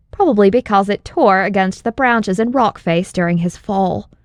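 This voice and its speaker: A woman's voice: mid-range voice, no room sound, studio quality. A woman reading scripted story narration as an audiobook narrator.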